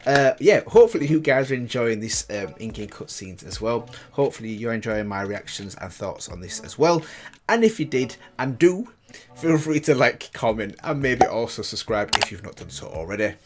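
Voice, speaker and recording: A man's voice: medium pitch, very animated, moderate pace. A man hosting a reaction channel, giving casual, chatty commentary and repeating himself for emphasis.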